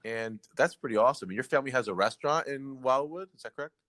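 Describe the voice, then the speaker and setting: A man's voice: mid-range voice, quick pace, fairly bright tone, no echo. A man hosting a podcast interview, upbeat and encouraging toward his guest. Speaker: podcast host.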